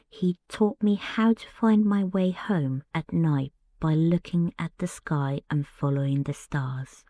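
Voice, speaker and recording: A woman from Finland with a Finnish accent, hosting a soft-spoken bedtime podcast and telling personal stories in a gentle, hushed way. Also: sits low, no echo. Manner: slow, hushed, gentle delivery. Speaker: podcast host.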